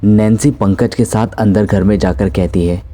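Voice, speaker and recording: A man's voice: on the low side, fairly even intonation, normal speed, warm tone. A man narrating a scripted horror story as its storyteller.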